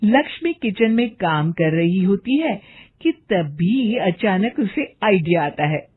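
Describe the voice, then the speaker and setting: A woman's voice: mid-range voice, sing-song, slow pace, breathy edge, narrow, tinny audio. A woman narrator reading a scripted cartoon story in storybook style.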